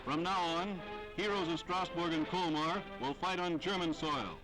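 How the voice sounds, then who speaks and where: A man's voice: a little high, normal speed, low-quality recording. A man delivering scripted 1940s newsreel narration in a formal, declamatory way with dramatic phrasing. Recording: vintage 1940s newsreel soundtrack, loud music.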